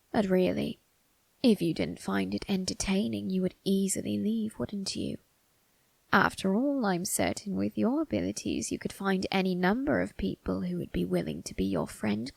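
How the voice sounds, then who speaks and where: An eerie, ageless male undertaker, acted by a woman speaks in an ASMR roleplay. The voice is husky and breathy, crackling heavily with creak. The phrasing is formal and slyly amused, needling the listener with soft tag questions. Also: mid-range voice, no echo, full character voice. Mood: amused, coaxing. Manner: slow, formal, teasing delivery with drawn-out tag questions.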